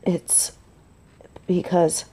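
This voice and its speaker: A woman playing a tender, nervous young biker girlfriend in an intimate roleplay audio drama, speaking hesitantly and breathily. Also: dark tone. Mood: subdued, worried. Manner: hesitant, breathy, halting delivery with whispers.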